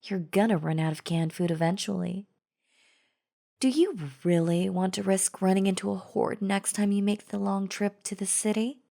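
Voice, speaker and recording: A woman's voice: medium pitch, normal speed, vocal fry, dark tone, close to an everyday voice. A woman playing a chatty female zombie in an audio roleplay, casually coaxing the listener with false friendliness and a sly, wheedling drawl. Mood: coaxing, falsely friendly. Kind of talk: scripted audio roleplay acting, addressing the listener.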